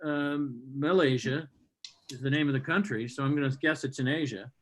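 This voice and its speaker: A man's voice: medium pitch, moderate pace. A man hosting a live comedy show over a video call, riffing in a sardonic, rambling, mock-hostile way before introducing the next act. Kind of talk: stand-up banter and introductions.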